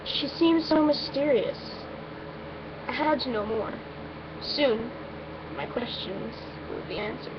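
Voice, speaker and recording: High-voiced woman reading, muffled noisy recording. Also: slow pace, long pauses. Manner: informal, stumbles and breaks off with asides. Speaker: amateur reader of a story. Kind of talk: first-person story reading, informal.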